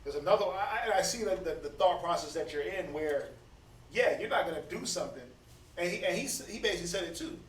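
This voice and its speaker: A man in his thirties gives a laid-back scripture talk, stammering and doubling back on his words. His voice sits a little high for a man. He is recorded from a distance in an echoey room with a faint hiss behind him. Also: lively intonation, fast. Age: 30s. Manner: conversational, preachy, stammers and repeats words. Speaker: Bible study speaker. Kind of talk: casual teaching talk.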